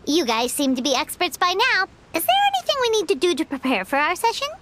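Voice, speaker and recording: A woman's voice: very high-pitched, sing-song, fast, fairly bright tone, slight background noise, full character voice. A woman voicing a tiny, blunt, chirpy female fairy companion in a game, who speaks of herself in the third person. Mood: wary. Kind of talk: game voice acting.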